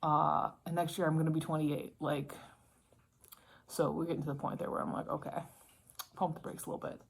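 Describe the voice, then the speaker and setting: A woman's voice: sits low, flat delivery, a little fast, gravelly, husky. A woman in her late twenties chatting casually in a rambling, self-interrupting way, as in a personal vlog. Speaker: book and writing vlogger. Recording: noticeable background noise.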